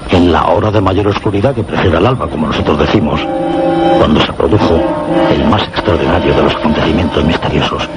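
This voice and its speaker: Casting: meteorologist narrator for suspense radio play, very low voice, Castilian accent, measured and formal, heavy noise. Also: male voice. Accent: Castilian Spanish. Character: male meteorologist narrator recounting mysterious frightening events. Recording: noisy old radio drama recording.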